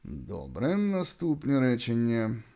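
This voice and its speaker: A man with a Ukrainian accent, a native Ukrainian speaker, teaching English by deliberately reading out short example sentences and repeating them. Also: medium pitch, huge pitch swings, creaky, warm tone. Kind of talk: bilingual language lesson, reading example sentences and translations.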